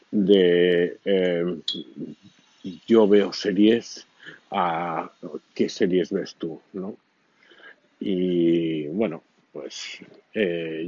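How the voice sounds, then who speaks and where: A man's voice: sits low, darkish tone, a little hiss or hum. A man hosting a casual podcast, sharing a rambling spoken reflection with many fillers and restarts. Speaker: podcast host. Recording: dull lo-fi sound.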